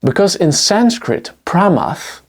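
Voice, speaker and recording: A man's voice: high-pitched, slow pace, slightly rough. A man with a Dutch accent, a native Dutch speaker, narrating a scripted history lesson in an explanatory way. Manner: explanatory, lively intonation. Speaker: history lecturer.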